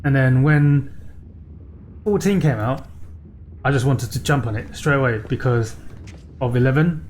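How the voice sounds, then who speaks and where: A man hosting a let's play, chatting casually in a halting, unscripted way. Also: mid-range voice, a little mellow, slight background noise. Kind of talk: casual gameplay commentary.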